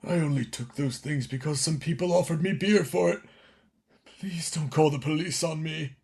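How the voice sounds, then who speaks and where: A man playing a washed-up older children's TV entertainer in a radio play, contrite and theatrical, with a creaky voice. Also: a little high, darkish tone. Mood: pleading, remorseful. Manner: dramatic, halting pleading and grand showman delivery.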